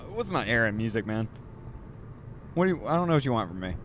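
A man's voice: medium pitch, big pitch swings, quick pace, a bit bright. A man hosting a casual podcast, rambling and joking, recorded on a handheld mic in a moving car. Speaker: podcast host. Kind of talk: casual chat. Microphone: handheld mic passed around. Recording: road noise from a moving car.

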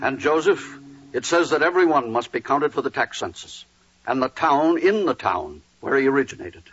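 An actor playing an anxious, protective husband in biblical Judea, speaking earnestly and urgently in 1940s radio drama style. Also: male voice, mid-range voice, expressive, moderate pace, fairly bright tone, husky. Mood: grave, urgent. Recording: muffled old 1940s radio, crowd murmur.

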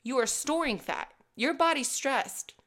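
A woman in her thirties, a fitness coach hosting her own podcast, talking to listeners in a casual, emphatic and animated way. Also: high voice, a little slow. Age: 30s. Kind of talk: casual solo podcast talk.

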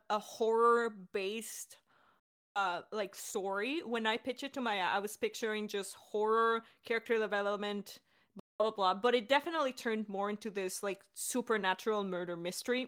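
A woman's voice: mid-range voice, moderate pace, a bit bright. A woman director and voice actor talking in a chatty, enthusiastic, giggly way in a casual, unscripted Q&A. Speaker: audio drama director and voice actor, hosting a Q&A.

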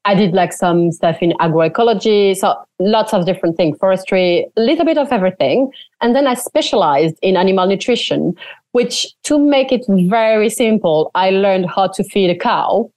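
A woman's voice: mid-range voice, normal speed, no room sound. Young French woman, chatty guest. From France. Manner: animated, frequent "like" fillers, laughs often. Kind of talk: casual interview chat.

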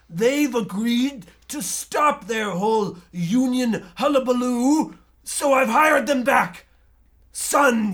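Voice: medium pitch, fairly bright tone. A performer playing a domineering, callous father and factory boss, declamatory, in an old-time radio drama parody. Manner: declamatory, commanding.

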